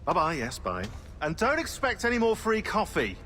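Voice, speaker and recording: Shrill, snide British barista. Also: male voice, huge pitch swings, moderate pace, sharp tone, soft background music, heavily acted. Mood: snide, scolding. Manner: sarcastic, snippy. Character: snide, sarcastic British barista, fussy and rude. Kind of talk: video game cutscene acting. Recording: long reverberant hall, faint noise.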